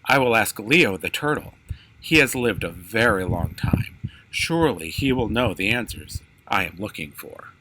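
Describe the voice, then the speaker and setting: A man's voice: lowish voice, animated, normal speed, lightly acted. An earnest young boy from a storybook, read by a man, kind and full of wonder. He speaks sincerely and thoughtfully, as if puzzling things out. The recording has some background noise.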